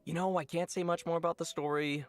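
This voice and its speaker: A man's voice: fairly high pitch, steady tone, fast, faint music behind. A man co-hosting a game preview show, delivering scripted updates with some light banter.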